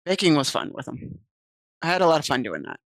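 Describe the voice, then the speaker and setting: A woman's voice: sits low, sing-song, fairly quick, no echo. A woman streaming, reading visual novel text aloud and chatting casually with viewers on a desk mic. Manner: chatty, reads game text aloud and answers chat.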